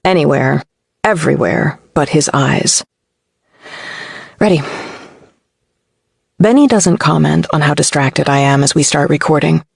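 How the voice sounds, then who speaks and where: A woman's voice: sits low, vocal fry, darkish tone, no room sound, clean studio sound. A woman reading a first-person novel as an audiobook narrator.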